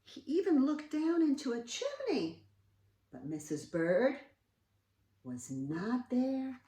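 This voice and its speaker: A woman reads a picture book aloud for young children. Her high voice moves widely up and down, with dramatic emphasis and questions to the listener. It sounds echoey, as if recorded a little away from the mic. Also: huge pitch swings, slow, warm tone. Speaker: children's read-aloud host. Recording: roomy echo, distant mic.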